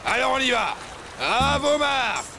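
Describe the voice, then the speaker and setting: A man's voice: extremely high pitch, sing-song, unhurried, background noise, heavily acted. A man voicing a stern, bossy sports teacher who barks out rules in a French cartoon. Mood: commanding. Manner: commanding, announcing rules. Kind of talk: French animated series dubbing.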